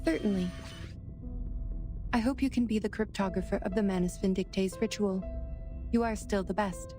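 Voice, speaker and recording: A woman plays a literal-minded female official who speaks in stiff, formal, precise phrasing at a middle pitch. Game music sits clearly behind her. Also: moderate pace, lightly acted.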